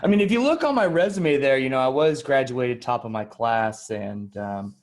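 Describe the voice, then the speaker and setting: A man's voice: mid-range voice, expressive, moderate pace, close to an everyday voice. Hesitant, polite male job applicant played by a man. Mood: flustered, apologetic. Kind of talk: improvised sketch comedy.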